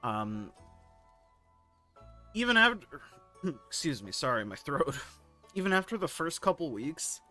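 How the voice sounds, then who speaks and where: Hoarse man doing laid-back game commentary. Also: fairly high pitch, big pitch swings, measured pace, long pauses, pushed and bright, faint music behind. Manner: laid-back, candid, occasional swearing. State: hoarse, sore throat. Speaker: gaming video host. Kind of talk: casual commentary reading forum posts.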